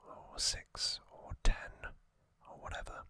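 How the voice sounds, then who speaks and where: An ASMR host whispering casual trivia, hushed and unvoiced, musing with frequent ums. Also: takes it slowly, dry recording, clean studio sound.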